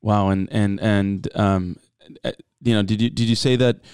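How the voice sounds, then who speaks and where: A man's voice: fairly low pitch, steady tone, normal speed, a little mellow, clean tone, dry recording, studio quality. A man hosting a podcast interview, asking questions with many hesitant restarts. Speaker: podcast host.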